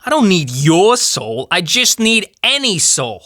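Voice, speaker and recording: A slyly polite devil makes his offers like a smooth salesman, acted out by a woman storyteller. The delivery suits a comic monologue, and music plays prominently underneath. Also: fairly low pitch, sing-song, measured pace. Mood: sly, offering.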